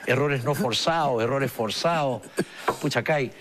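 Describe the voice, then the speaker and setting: A man's voice: mid-range voice, a little slow, noisy background. A man hosting a television talk show, asking probing questions of his guest. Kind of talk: TV interview hosting.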